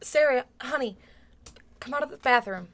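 A woman's voice: high-pitched, normal speed, heavy background noise. A woman playing a fussing, anxious middle-aged mother in a comedic audio drama, coaxing and tightly controlled.

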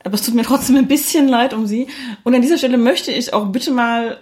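A woman's voice: a little high, fast, darkish tone. A woman co-hosting a podcast, giving her take on films in casual conversation. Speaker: podcast co-host. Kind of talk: casual film review chat.

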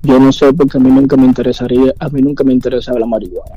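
A man's voice: mid-range voice, fairly even intonation, racing, husky. A man answering questions on a phone call, earnest and hesitant, repeating phrases. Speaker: prank call victim.

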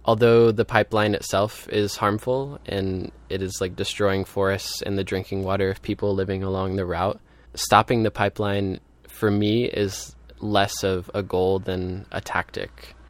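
Low, even-toned man talking casually. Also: normal speed, few pauses. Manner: informal, thinking aloud with frequent "like". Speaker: activist being interviewed. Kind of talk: casual spoken interview.

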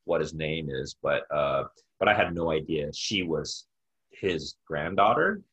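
A man's voice: extremely low pitch, lively intonation, measured pace, a bit bright, husky. A man hosting a casual conversational interview, rambling and thinking aloud as he goes. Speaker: interviewer and host.

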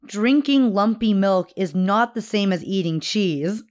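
A young woman co-hosting a podcast in casual chat, teasing and quick to laugh. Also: medium pitch, expressive, darkish tone. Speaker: podcast co-host.

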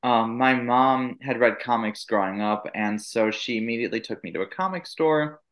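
A man's voice: mid-range voice, moderate pace, fairly bright tone. A man in his thirties who grew up speaking Italian first, talking as a podcast guest and telling his story in a rambling way with many fillers and restarts. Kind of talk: casual podcast interview.

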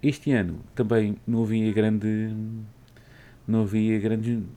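A man's voice: lowish voice, little pitch movement, slow pace, warm tone. A man with a European Portuguese accent hosting a casual gaming podcast, rambling along with many fillers. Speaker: podcast host.

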